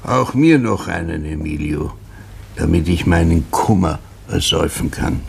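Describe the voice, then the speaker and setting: A man's voice: sits low, lively intonation, unhurried, gravelly, a little mellow, husky, exaggerated voice. An actor playing a brooding, sorrowful man drinking in a tavern, speaking gloomily and bitterly in a 1960s radio drama. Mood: gloomy.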